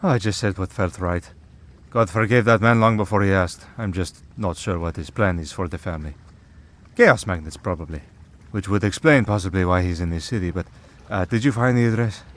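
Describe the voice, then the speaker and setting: A man's voice: low-pitched, brisk, a bit bright. A man playing a centuries-old vampire priest in an audio roleplay, dry, sardonic and protective. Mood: exasperated, dry.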